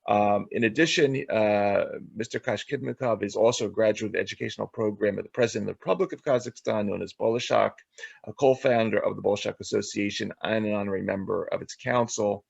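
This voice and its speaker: Guy hosting an interview, really creaky voice, kinda husky, stumbles over words, dry muffled audio. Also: fairly low pitch, no echo. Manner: halting, stumbles and repeats words. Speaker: show host, interviewer. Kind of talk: podcast interview introduction, reading a guest biography.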